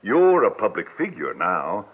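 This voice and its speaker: A grandiose, florid older con man in an old radio drama. His lowish voice swoops with pompous flourishes and has a husky grain. The recording is thin and phone-like. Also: wildly swooping pitch, measured pace, full character voice. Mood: flustered, evasive. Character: smooth-talking grandiose older male swindler.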